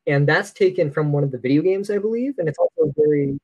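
A man's voice: fairly high pitch, expressive, quick pace, darkish tone. A man co-hosting a podcast in a casual film discussion, opinionated and critical in how he talks. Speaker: podcast co-host.

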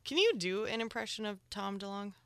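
A woman's voice: medium pitch, animated, brisk, a bit bright, a little hiss or hum. A young woman hosting a casual interview, playful and teasing with frequent giggles. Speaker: host.

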